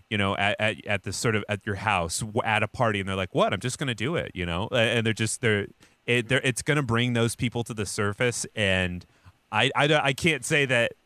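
Male podcaster, lowish but bright and forceful, dry close sound, rambling and colloquial. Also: no room sound. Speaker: podcast host. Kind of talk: casual comedic news chat.

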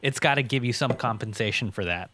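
A man's voice: medium pitch, a little fast, sharp tone, slight background noise. A man co-hosting a podcast, explaining things loosely in casual, colloquial speech. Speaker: podcast host.

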